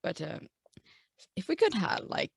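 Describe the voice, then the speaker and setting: A woman playing a tabletop game, casually and haltingly thinking aloud as she discusses what to do. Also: on the low side, huge pitch swings, creaky, breathy edge, no room sound, studio quality.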